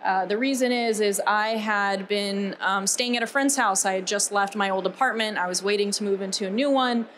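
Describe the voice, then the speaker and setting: A young woman with an American accent speaks on stage in a conference keynote style, telling her story conversationally. Her pitch is average for a woman, and she halts and repeats words at times. There is faint ambient noise behind her voice. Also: normal speed, hardly any pauses, fairly bright tone. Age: young adult. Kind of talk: conference talk, personal storytelling.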